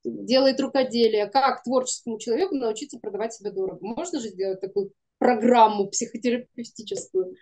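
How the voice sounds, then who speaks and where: A middle-aged woman with a fairly high voice and a very soft, mellow tone. She talks casually, as a guest in a conversation over a video call. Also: expressive, brisk. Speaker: guest, animation studio producer. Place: indoor room, video call.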